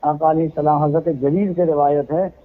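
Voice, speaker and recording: A man's voice: fairly high pitch, fairly even intonation, moderate pace. A man, explaining himself at length on a phone call and citing religious sayings to defend his actions. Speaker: event organizer on a phone call. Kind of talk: heated phone conversation. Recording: tinny phone call recording.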